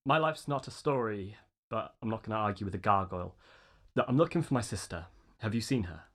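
A man's voice: fairly low pitch, expressive, a little fast, a bit bright. An actor playing a determined, questioning young man in an audio play, plain and direct. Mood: wary, impatient.

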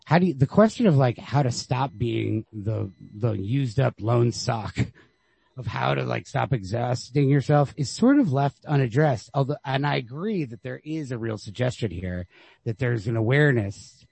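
Male podcast co-host, husky and creaky, casual book talk, feels his way through each point. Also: mid-range voice, animated, moderate pace, a little mellow, no room sound. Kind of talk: casual literary discussion.

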